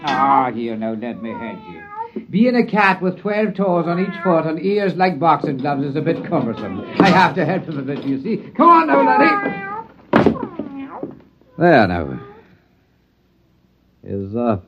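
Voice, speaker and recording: A charming voiced stranger. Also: male voice, on the high side, huge pitch swings, measured pace, long pauses, a little hiss or hum. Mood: gentle. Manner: charming, lilting, playful. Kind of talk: 1950s radio drama acting. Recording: dull old radio broadcast.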